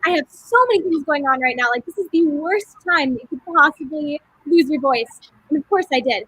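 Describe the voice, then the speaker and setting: A young woman in a cast reunion telling a story in a casual, animated way, with plenty of "like" and "um". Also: high voice, a little fast, dry recording, rough recording. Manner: animated, with many fillers. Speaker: cast member.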